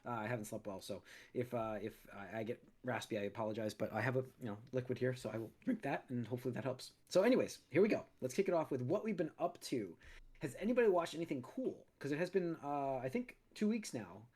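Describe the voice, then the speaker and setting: Brief: male podcast host, mid-pitched, slightly raspy from a cold, rambling and easygoing, studio mic. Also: lively intonation, quick pace, darkish tone. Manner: rambling, many restarts and fillers. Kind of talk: casual chat.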